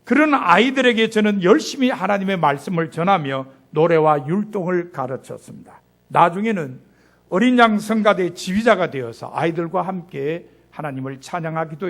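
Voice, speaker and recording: A middle-aged male pastor preaching a sermon, telling a personal story with lively, rising and falling emphasis. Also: sits high.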